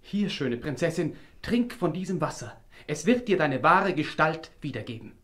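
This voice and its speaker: Lively, cocky young storybook hero. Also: male voice, a little high, moderate pace. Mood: gentle. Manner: bold, teasing. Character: cocky, good-hearted young fairy-tale hero. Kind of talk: fairy-tale audio drama acting.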